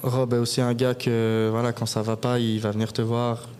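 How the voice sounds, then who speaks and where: A young man in his twenties, a guest hockey player, giving even, unhurried interview answers with frequent pauses at a live podcast event in a bar. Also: mid-range voice.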